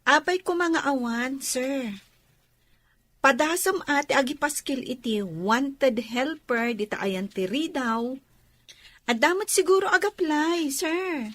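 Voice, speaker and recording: A woman's voice: high voice, slow, a bit bright, no room sound. A woman with a Filipino accent acting a teasing, outspoken young student worker in a radio drama. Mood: matter-of-fact.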